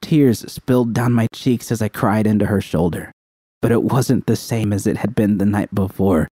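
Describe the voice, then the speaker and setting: A man narrates a dramatic first-person story as an audiobook reader. His voice is fairly low and very mellow, recorded dry and close. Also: moderate pace, dry recording.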